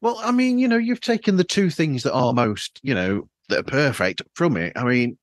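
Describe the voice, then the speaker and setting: A man with a mid-range, very animated voice hosts a casual podcast chat. He rambles enthusiastically, and the sound is dry, from a flawed microphone. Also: medium pitch, moderate pace, no room sound. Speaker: podcast host.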